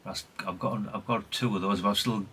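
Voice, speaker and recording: Middle-aged British male hobbyist host, forward and raspy tone, informal, wandering delivery full of self-corrections. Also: lowish voice, fairly even intonation, fairly quick, sharp tone. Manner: chatty, rambling, self-correcting, tag questions. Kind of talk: casual rambling show-and-tell.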